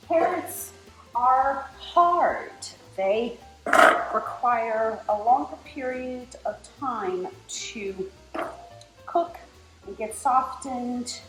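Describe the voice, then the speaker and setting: An American woman in her forties presents a casual home cooking demonstration as host, speaking haltingly with pauses. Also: mid-range voice. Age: 40s. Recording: roomy kitchen echo with music. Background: music, sizzling food and stirring.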